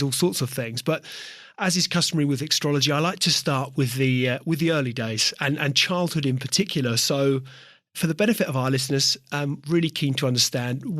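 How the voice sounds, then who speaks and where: A man's voice: medium pitch, fairly bright tone, no room sound, clean studio sound. A man hosting an interview podcast, asking long, meandering questions and often repeating words. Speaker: podcast host.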